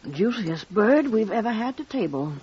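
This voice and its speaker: An actress playing a blunt, no-nonsense, folksy working-class wife in a 1950s radio drama. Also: female voice, fairly high pitch, sing-song, normal speed, a little mellow. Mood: contented. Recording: dull, noisy old radio recording.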